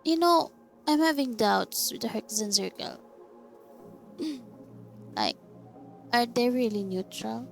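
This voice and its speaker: A woman's voice: on the high side, takes it slowly, creaky, long pauses, soft background music. A woman giving casual, reactive game playthrough commentary, musing aloud as she goes. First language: Filipino. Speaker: gaming video creator.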